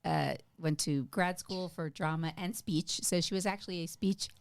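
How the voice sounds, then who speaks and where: A woman's voice: on the low side, moderate pace, creaky, no echo. Chatty middle-aged woman, dry sound. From United States. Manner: chatty, self-deprecating, many fillers. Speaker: podcast guest. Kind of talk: casual interview chat.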